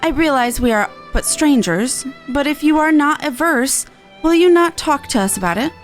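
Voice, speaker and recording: A woman voicing an earnest, kindly festival envoy from a fantasy game, with formal, archaic, measured phrasing. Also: high-pitched, animated, soft background music. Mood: earnest, apologetic. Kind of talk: reading game dialogue aloud.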